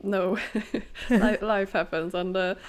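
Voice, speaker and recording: A woman's voice: mid-range voice, steady tone, slow pace, slight background noise. Hesitant woman podcast co-host. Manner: hesitant, many fillers and restarts, laughs often. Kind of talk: casual chat.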